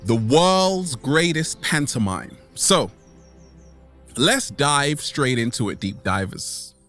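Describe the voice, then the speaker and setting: A man's voice: mid-range voice, sing-song, takes it slowly, fairly bright tone, soft background music. A man hosting a motivational vlog outdoors, upbeat and theatrical, stretching out his words and repeating them playfully. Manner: upbeat, exuberant. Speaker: video host. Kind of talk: motivational vlog to camera, catchphrases. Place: outdoors in nature.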